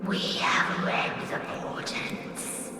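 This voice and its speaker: Whispering, echoing, cloaked dark sorcerer. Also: male voice. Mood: ominous. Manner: slow, portentous. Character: cloaked sorcerous Templar of Discord with enchanted, disguised voice. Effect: whispery, echoing magical voice disguise. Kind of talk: ominous prophetic audio drama acting. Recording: distant, heavily echoing, very noisy.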